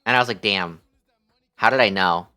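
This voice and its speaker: A man's voice: on the low side, moderate pace, pushed and bright, clear, resonant tone. A young man livestreaming, chatting casually with his viewers in a playful, affectionate manner.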